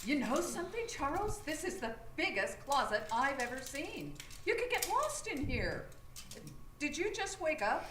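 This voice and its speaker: An actress playing a wary, inquisitive wife on vacation in a comic radio play, with an expressive, questioning delivery. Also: female voice, sits high, normal speed. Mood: relaxed. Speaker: actor in live radio drama. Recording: noisy live theater stage recording.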